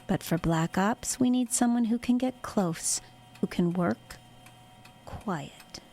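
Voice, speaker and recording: A calculating military woman in an audio drama, played by an actress. Her voice sits at a medium pitch, and she speaks with clinical control and cool authority. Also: takes it slowly, dark tone. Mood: coolly calculating. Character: cold, calculating woman superior in a covert military unit. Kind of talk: audio horror drama acting.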